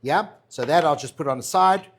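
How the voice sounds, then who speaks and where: A man's voice: a little high, moderate pace. A man with a South African accent, a chef chatting casually through a cooking demo and answering viewer questions. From Madeira, raised in South Africa. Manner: chatty, reassuring, tags lines with "all right?" and "yeah?".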